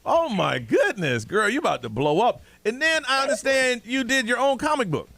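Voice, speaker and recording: A man's voice: extremely high pitch, sing-song, normal speed, vocal fry, bright, forceful tone, no room sound. A man hosting a live radio morning show, interviewing a guest in an upbeat, effusive way from the studio mic. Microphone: studio microphone.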